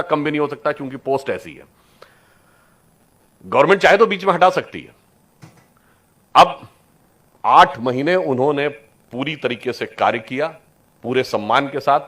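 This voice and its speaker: A man giving a persuasive political speech to an audience, with deliberate emphatic phrasing, rhetorical questions and English words mixed in. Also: medium pitch, fairly bright tone. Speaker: political speaker.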